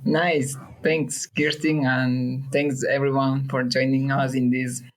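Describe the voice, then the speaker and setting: Halting man with Spanish accent. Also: mid-range voice, little pitch movement, poor audio. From Ecuador. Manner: halting, restarts often, apologetic. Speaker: podcast guest.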